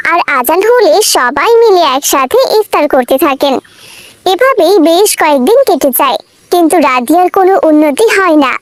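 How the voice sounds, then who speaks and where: A woman's voice: extremely high pitch, no room sound. A woman narrator reading a scripted children's moral cartoon story.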